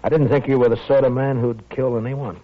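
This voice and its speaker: An actor playing a blunt, world-weary frontier marshal with firm authority in a 1950s radio western. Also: male voice, medium pitch, steady tone, fairly quick, lightly acted. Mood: firm warning. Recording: dull vintage 1950s radio recording.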